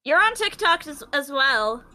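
High, bright-voiced woman bantering. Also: sing-song, a little slow. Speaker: table-read participant chiming in. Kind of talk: casual joking banter. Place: remote group video call.

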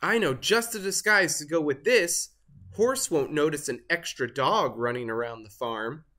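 A storyteller voicing an excitable, dramatic storybook turkey who gobbles, wails and exclaims. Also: medium pitch, normal speed. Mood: eager. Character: excitable turkey, dramatically dejected when found out.

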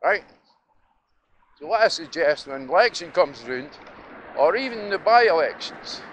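A man's voice: high voice, a bit bright. A middle-aged man from Dundee with a Scottish accent, talking in a casual, opinionated, rambling way as he walks. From Dundee, Scotland. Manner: reminiscing, opinionated, emphatic, prone to chuckling. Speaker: vlogger. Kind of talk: rambling casual monologue while walking outdoors. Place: outdoors, walking along city streets. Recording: noisy outdoor audio with traffic. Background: passing vehicles, street ambience.

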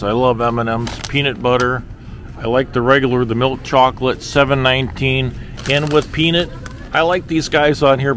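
A man's voice: medium pitch, fairly bright tone. Middle-aged man vlogging over store noise. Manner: exclamatory, incredulous, repeats himself. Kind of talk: casual running commentary on grocery prices. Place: large supermarket, indoors. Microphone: handheld camera at arm's length. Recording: noisy store ambience. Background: store background noise.

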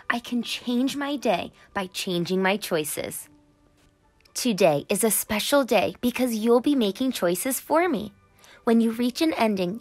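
An eager little boy superhero acted out by a woman storyteller, earnest and dramatic. The delivery swings widely in pitch at an unrushed pace, with music underneath. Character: eager, brave young boy superhero in training.

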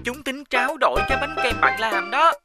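A woman's voice: sits high, animated, fairly quick, over loud music. A woman with a Southern Vietnamese accent voicing a clever, playful child in a children's cartoon. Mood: conspiratorial.

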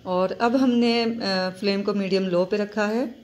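A woman hosting a home cooking channel, calmly narrating each recipe step and repeating key points. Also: medium pitch, measured pace. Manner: steady, instructive, repeats steps.

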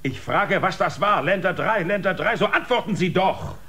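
An actor playing a stern, threatening spaceship commander in a sci-fi radio drama, husky and commanding. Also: male voice, high voice, normal speed, pushed and bright, full character voice. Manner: commanding, imperious.

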